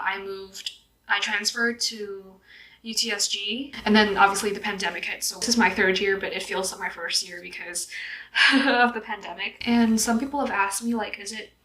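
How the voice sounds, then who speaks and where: A woman's voice: mid-range voice, fairly bright tone, low-quality recording. A woman in her twenties from Vietnam, chatting casually while getting ready at home, laughing often and filling her speech with "like" and "um". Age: 20s. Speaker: YouTuber. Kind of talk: casual get-ready-with-me chat. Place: indoors at home in a city, doing makeup.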